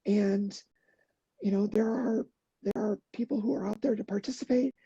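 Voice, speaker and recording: Gravelly, dark-voiced woman, earnest and halting. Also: medium pitch, fairly even intonation, no echo, muffled recording. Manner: earnest, halting, many fillers and restarts. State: a little hoarse. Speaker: podcast guest, endurance athlete. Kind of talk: casual interview talk.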